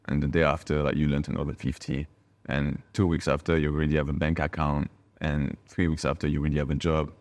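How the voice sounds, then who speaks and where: A man's voice: rumbling low, flat delivery, fast. A man from France with a French accent, a native French speaker, talking reflectively and emphatically as an interview guest. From France, a small village in Bordeaux. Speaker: podcast guest, wine bar owner.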